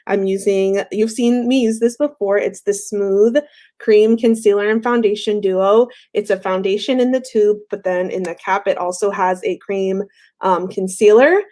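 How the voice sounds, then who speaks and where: A woman's voice: medium pitch, fairly even intonation, normal speed, dark tone. A young woman hosting a casual makeup tutorial livestream, her voice a little off while she recovers from being sick, chatty with frequent fillers. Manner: chatty, friendly, frequent "um" fillers and light laughs.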